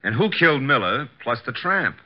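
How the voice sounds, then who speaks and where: An actor playing a wisecracking, loyal American detective's assistant, breezy and slangy, in 1950s radio drama style. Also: male voice, medium pitch, very animated, moderate pace, close to an everyday voice. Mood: wry, puzzled. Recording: dull vintage 1950s radio recording.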